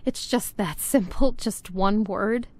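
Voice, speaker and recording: A woman's voice: medium pitch, slow pace, a little mellow. A woman playing an exasperated, biting character in a crime game drama, sardonic and reproachful. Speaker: voice actor in game cutscenes. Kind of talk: dramatic scripted dialogue.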